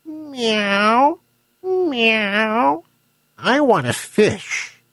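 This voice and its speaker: A man's voice: very high-pitched, very animated, fairly bright tone, no room sound, studio quality, voice pushed up, heavily acted. A man voicing a hungry, demanding female cat in a storybook tale, meowing and drawing out words. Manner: meowing, drawn-out.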